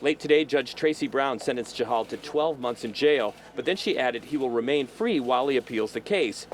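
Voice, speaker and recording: A man delivering a live news report in a measured reporter's voice, outdoors by a courthouse. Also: medium pitch, animated, crowd noise. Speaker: field reporter.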